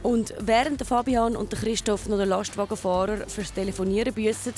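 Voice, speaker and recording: Woman, Swiss German accent, children's TV presenter, scripted documentary narration, medium pitch, music behind. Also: fairly even intonation, brisk.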